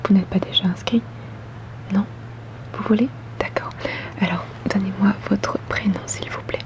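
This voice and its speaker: A woman whispering softly and breathily in an ASMR roleplay as a polite, attentive boutique saleswoman, gentle and unhurried. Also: medium pitch, fairly even intonation, poor audio. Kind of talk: ASMR roleplay, customer service.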